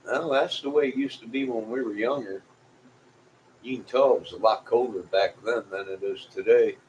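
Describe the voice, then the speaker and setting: A man's voice: medium pitch, moderate pace, darkish tone, rough recording. A middle-aged or older man chatting casually to viewers in a folksy, rambling way, often repeating himself. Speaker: vlogger. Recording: clear background noise.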